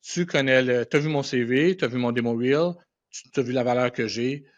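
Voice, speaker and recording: A middle-aged man with a Quebec French accent and a mid-pitched voice. He talks as an interview guest in a casual, colloquial storytelling way, dropping in English words. The recording is slightly dull and lo-fi. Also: fairly even intonation, moderate pace. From Quebec, Canada. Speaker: podcast guest, animation and visual effects professional. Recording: dull, band-limited podcast audio.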